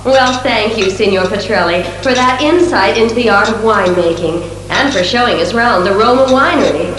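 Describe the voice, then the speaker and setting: A woman's voice: mid-range voice, normal speed, fairly bright tone, lightly acted. A woman playing a polite, inquisitive television news reporter in an audio drama. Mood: pleasant, wrapping up. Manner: crisp questions, polite. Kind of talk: audio drama acting as interviewer. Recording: very echoey room, faint music.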